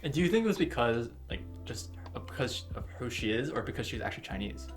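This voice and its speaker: A young man co-hosts a relaxed podcast, full of teasing jokes and quick asides. His pitch sits in the middle range. Faint music plays under the talk. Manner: joking, teasing, wraps up with quick plugs. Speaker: podcast co-host. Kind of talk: casual podcast chat.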